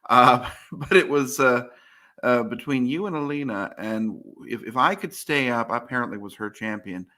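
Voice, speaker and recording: A man's voice: medium pitch, moderate pace, bright, forceful tone. A man with an American accent co-hosting a casual board game chat in a wry manner. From United States. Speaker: co-host.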